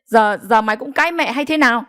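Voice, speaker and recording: A woman's voice: on the high side, big pitch swings, fast talker, fairly bright tone. A woman voicing a domineering, hot-tempered elderly mother-in-law in an audio story, scolding harshly and stammering with anger. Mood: stern demanding.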